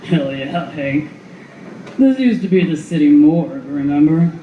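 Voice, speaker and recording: Man as a highish-voiced bartender, muffled. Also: animated, measured pace. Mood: easygoing. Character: obliging, plain-spoken bartender in a noir tale. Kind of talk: live noir radio-play acting. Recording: muffled, echoey room with faint noise.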